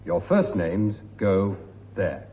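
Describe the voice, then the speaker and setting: An actor playing a polite, patient British immigration officer who helps a traveller fill in a form. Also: male voice, low-pitched, takes it slowly, mellow, big-room sound. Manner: courteous, patient, formal.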